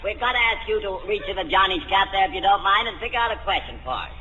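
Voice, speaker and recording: An actor playing a sarcastic, exasperated quizmaster in a 1940s radio comedy quiz. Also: male voice, sits high, expressive, fast, pushed and bright. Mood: polite, brisk. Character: exasperated, sarcastic male quizmaster scolding his panel. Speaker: quizmaster host. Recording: echoing muffled noisy 1940s broadcast, audience. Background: studio audience.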